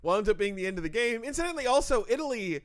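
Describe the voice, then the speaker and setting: A man with a high voice that swings widely in pitch, hosting a casual comedy podcast. He runs through sports updates in a chatty way, with jokes and a laugh. He talks into a studio mic. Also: very animated, very fast.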